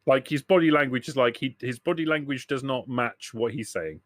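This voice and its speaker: A man's voice: mid-range voice, a little fast, dry recording, clean studio sound. A man acting as game master, casually narrating and answering players in a tabletop roleplaying game.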